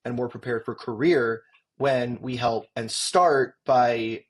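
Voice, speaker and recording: A man with a husky voice explains his education tech company as an interview guest. He talks in an articulate, explanatory way with occasional ums. His pitch sits in the middle of the range. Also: steady tone, slow pace. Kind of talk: interview answers.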